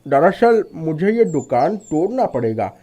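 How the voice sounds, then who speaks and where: A man's voice: on the high side, big pitch swings, unhurried, mellow. A man voicing a shop landlord in a story, regretful and hesitant yet insistent. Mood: regretful, firm. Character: apologetic but firm male shop landlord. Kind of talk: animated moral story dialogue.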